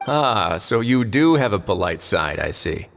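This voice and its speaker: Low-voiced, composed warden duke. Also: male voice, big pitch swings, vocal fry, a bit bright, a little hiss or hum, heavily acted. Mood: wry amusement. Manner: measured, dryly teasing. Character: composed, wry prison warden duke, adult man. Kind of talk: video game character acting. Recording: long reverb, music behind.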